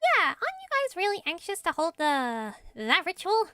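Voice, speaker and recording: A woman voicing a tiny, excitable, childlike fairy girl companion in a video game, exclamatory and eager. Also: very high-pitched, moderate pace, no room sound, clean studio sound, heavily acted. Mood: curious. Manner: exclamatory, refers to self by name. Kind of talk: amateur voice acting of video game dialogue.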